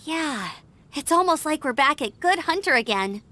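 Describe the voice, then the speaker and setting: An actress playing a cheerful young woman scout, warm and nostalgic, in a video game cutscene. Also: high-pitched, animated, moderate pace, a little hiss or hum. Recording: game music under the voice.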